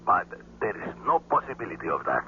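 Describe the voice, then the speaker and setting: An actor playing an anxious, deferential male agent in a 1970s radio drama, speaking formally and haltingly. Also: low-pitched, very animated, gravelly, full character voice. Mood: uneasy, hesitant. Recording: muffled, noisy telephone line in old radio.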